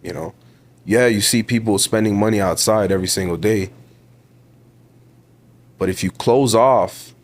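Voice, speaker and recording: A young man in his twenties with a Toronto accent talks on a podcast in a somewhat low voice. He sounds emphatic and motivational, leaning on repeated words to drive each point home. He is casual with the host and keeps calling him bro. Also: normal speed, pauses often. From Ontario, Canada. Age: 20s. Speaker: podcast guest. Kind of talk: casual interview talk.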